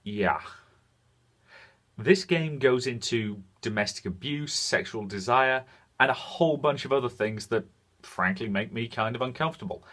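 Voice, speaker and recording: A man with a mid-pitched voice presents a video game review. His delivery is measured and opinionated, partly scripted, and he pauses deliberately to land a point. He comes across as frank in giving his verdicts. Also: lively intonation, fairly bright tone. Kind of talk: semi-scripted review commentary.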